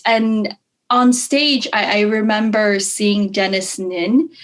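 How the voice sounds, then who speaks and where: A woman's voice: mid-range voice, fairly even intonation, slow. A woman in her thirties from Hong Kong, a guest telling her story in casual chat over a video call, bubbly, laughing often and using many fillers. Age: 30s. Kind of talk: casual interview.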